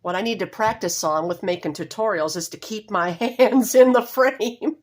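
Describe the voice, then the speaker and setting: A woman with a slightly hoarse voice from a cold, hosting a casual step-by-step knitting tutorial from home in a homey, apologetic way, often pausing to correct herself. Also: medium pitch.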